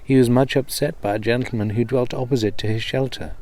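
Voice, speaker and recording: A man's voice: mid-range voice, fairly even intonation, a little fast, dark tone. A man reading an old comic tale aloud as a storyteller.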